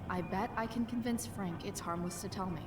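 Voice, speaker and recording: A woman's voice: medium pitch, brisk, noisy background, natural voice. An actress playing a rebellious, sardonic teenage girl in a video game, speaking casually. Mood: curious, dry.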